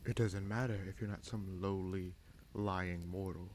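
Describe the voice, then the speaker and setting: Man acting a low, gravelly, scheming fantasy prince. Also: fairly even intonation, darkish tone, a little hiss or hum. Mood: brooding, distrustful. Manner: menacing, sardonic, deliberate with dramatic pauses and sighs. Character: bitter, scheming bastard prince commanding a captive dragon. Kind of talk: dramatic fantasy audio roleplay addressed to the listener.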